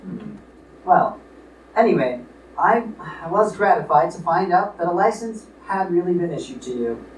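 A man playing a stern, suspicious, blustering father in a live radio play, speaking deliberately. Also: high-pitched, animated. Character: stern, suspicious rich father, middle-aged or older man. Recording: roomy, distant stage recording with noise.

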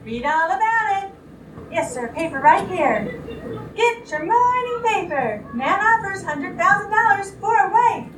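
A woman's voice: very high-pitched, very animated, unhurried, full character voice. A woman playing a young street newspaper seller, calling out headlines in an old-time radio play staged live. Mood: eager hawking. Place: live on stage in a small theater. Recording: distant built-in recorder mics, roomy, clear noise.

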